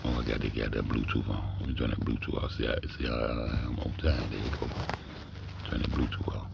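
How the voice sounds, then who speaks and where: A man hosting a livestream with a big deep voice, talking low and dropping to a near-whisper as he reads text aloud, then riffing in loose, profane commentary. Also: steady tone, a little fast, gravelly, very noisy. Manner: talks low, often drops to a near-whisper. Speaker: livestream host.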